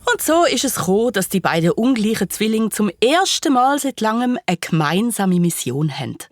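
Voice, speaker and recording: A woman's voice: medium pitch, very animated, normal speed, dry recording, clean studio sound. A woman with a Swiss German accent narrates a scripted children's story in a playful, vivid way, with conversational asides to listeners.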